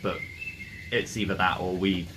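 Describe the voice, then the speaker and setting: A man's voice: low voice, level tone, normal speed. A man playing a tabletop game, casually describing his moves to the group. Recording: clear background noise and ambience.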